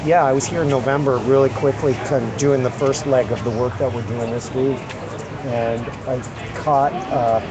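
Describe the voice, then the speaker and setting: A hoarse man in rambling casual chat, with stumbling restarts, recorded on a phone in a cafe bar. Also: medium pitch, steady tone, moderate pace, muffled recording, background noise. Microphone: phone camera.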